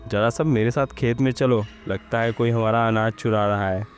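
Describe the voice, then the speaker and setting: A man's voice: mid-range voice, steady tone, moderate pace, soft background music, natural voice. A man voicing a cruel, bullying landlord in a Hindi-style village horror story, with a threatening, scornful manner. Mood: commanding. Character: cruel, menacing landlord man, bullying his farm workers.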